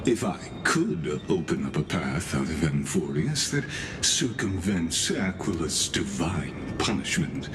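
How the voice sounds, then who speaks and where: Deep polite schemer robot scholar for a video game, formal n deferential, really expressive intonation, rumbly noise behind. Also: male voice. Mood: calculating, guarded. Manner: formal, deferential, measured, deliberate. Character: courteous, scheming machine-born male scholar, formal and composed. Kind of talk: scripted video game character dialogue.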